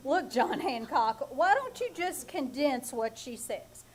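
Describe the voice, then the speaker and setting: A woman's voice: high voice, animated, slow pace. A woman playing a long-suffering, wisecracking husband in a live old-time radio comedy, with a dry, sardonic delivery. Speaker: actor playing Ace, the husband. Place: live theater stage.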